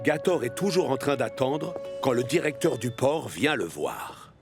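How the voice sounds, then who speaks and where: A man's voice: mid-range voice, sing-song, measured pace. Male storyteller over music. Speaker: narrator. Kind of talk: scripted children's cartoon narration. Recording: clear music bed behind.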